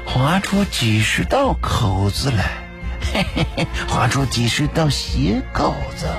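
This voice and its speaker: A man's voice: sits low, wildly swooping pitch, a little slow, over music, full character voice. A man voicing a cold, vicious male general in an audio drama, with sinister, sneering threats.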